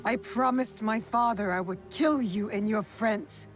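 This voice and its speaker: A woman's voice: medium pitch, measured pace, lightly acted. A woman playing a young, defiant and determined warrior of feudal Japan in a video game, blunt and challenging. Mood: accusing. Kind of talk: cinematic video game acting. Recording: faint music behind the voice. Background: faint background music.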